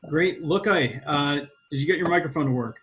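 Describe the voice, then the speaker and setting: A man's voice: on the high side, brisk, a bit bright, lo-fi sound. A man hosting an online panel discussion over a video call, upbeat and encouraging as he hands the floor around. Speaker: panel moderator.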